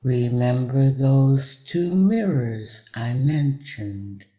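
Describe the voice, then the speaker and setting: A man delivering a hypnotic induction in a measured, deliberate way, with long pauses between short phrases. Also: medium pitch, expressive, mellow, lo-fi sound.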